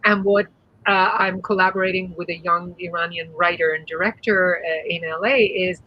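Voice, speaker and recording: A woman's voice: on the low side, moderate pace, highs cut off. A woman from Iran, whose first language is Persian, speaking expressively and with some sass as a guest on a video-call panel conversation. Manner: expressive, sassy, earnest. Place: home room.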